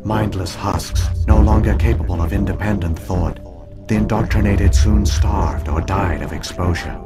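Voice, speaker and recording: An actor playing an ancient alien artificial intelligence in a science fiction game, speaking in a grave, measured and formal way. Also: male voice, on the low side, over loud music, natural voice. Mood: grave recounting. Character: ancient solemn alien AI, last keeper of a dead people.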